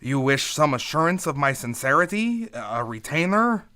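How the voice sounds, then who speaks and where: An actor playing a small, courteous but dangerous Greek schemer in an old-time radio play, speaking in formal, hesitant, precise phrases. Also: male voice, on the high side, big pitch swings, normal speed, pushed and bright.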